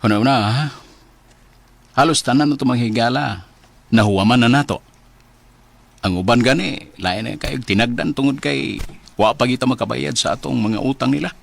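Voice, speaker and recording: An actor playing a weary, despairing jobless Filipino husband in a Cebuano radio drama, sighing and anguished. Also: male voice, mid-range voice, moderate pace, creaky, long pauses. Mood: worried, defeated. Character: despairing jobless husband losing his faith.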